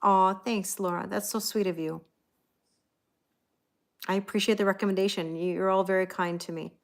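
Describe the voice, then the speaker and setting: A woman's voice: mid-range voice, fairly even intonation, moderate pace, vocal fry. A middle-aged woman hosting a tarot livestream, chatting casually and thanking viewers by name.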